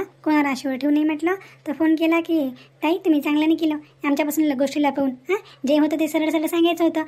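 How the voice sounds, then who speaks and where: A woman's voice: high-pitched, darkish tone, natural voice. A woman voicing a haughty groom's mother in an amateur cartoon, curt and reproachful.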